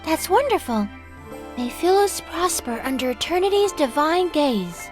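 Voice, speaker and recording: A woman's voice: high voice, sing-song, unhurried, music in the background, voice pushed up. A woman voicing a naive, devout young female revenant in a fantasy game, earnest, formal and exclamatory. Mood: admiring.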